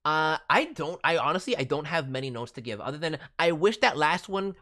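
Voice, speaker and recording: Casting: woman as voice-director host, lowish and animated, giving emphatic, encouraging commentary. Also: fairly quick, noisy background. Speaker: host, voice director reviewing demo reels. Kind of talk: spoken critique, livestream commentary.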